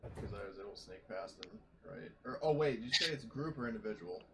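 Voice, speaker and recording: A man's voice: fairly low pitch, animated, quick pace. A man playing a tabletop game, chatting casually with the group over a noisy voice chat connection.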